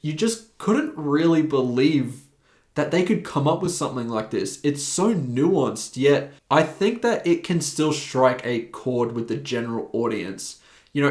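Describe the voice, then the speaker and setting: A man's voice: mid-range voice, reverberant. A man in his twenties with an Australian accent, talking enthusiastically as he reviews a film in a casual monologue. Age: 20s. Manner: enthusiastic, animated talker.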